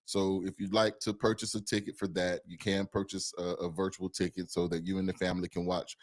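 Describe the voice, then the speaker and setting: A man's voice: deep voice, flat delivery, a little fast. A man co-hosting a podcast in a loose, casual way, often repeating and restarting his phrases. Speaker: podcast co-host.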